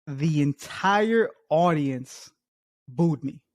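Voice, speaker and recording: A man's voice: on the high side, lively intonation, very slow, no echo. A young man co-hosting a podcast in casual chat, laughing often and swearing casually. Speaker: podcast co-host.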